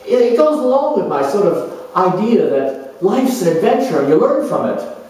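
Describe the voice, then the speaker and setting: A man's voice: sits high, normal speed, pitched above the performer's own voice. A man playing an exuberant, reckless, boastful free spirit who refuses to grow up, rambling brashly in a solo dramatized monologue. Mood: boastful, breezy. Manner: excitable, brash, rambling and unapologetic. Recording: echoey room, mic at a distance.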